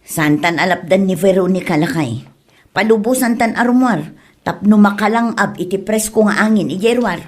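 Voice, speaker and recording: A woman's voice: medium pitch, moderate pace, a little mellow, natural voice. A woman acting a teasing, bossy wife and mother in a comedy drama, chiding and commanding with an Ilocano accent. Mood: coaxing.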